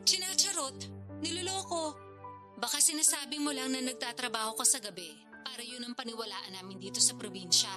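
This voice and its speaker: A woman's voice: high voice, a little fast, natural voice. A woman playing a nagging, sarcastic older sister from the province in a Filipino radio drama, teasing and guilt-tripping. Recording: music behind the voice. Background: background music.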